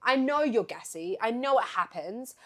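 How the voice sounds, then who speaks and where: A woman's voice: on the high side, normal speed. A young woman with an Australian accent chatting casually as a podcast co-host, telling travel stories in a giggly, slangy way. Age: young adult. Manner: giggly, animated, slangy, acts out quotes. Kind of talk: casual chat, travel storytelling.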